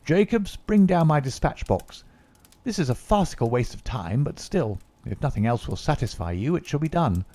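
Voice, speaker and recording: A man's voice: mid-range voice, sing-song, moderate pace, mellow, voice pushed up. A man doing a frantic young secretary. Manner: agitated, exclamatory. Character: excitable young male government secretary.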